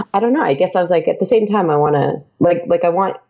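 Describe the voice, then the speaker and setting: Gravelly woman in dull lo-fi audio. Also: fairly low pitch, brisk, darkish tone. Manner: chatty, rambling, laughs often. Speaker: audio drama podcaster, guest. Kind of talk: casual interview chat.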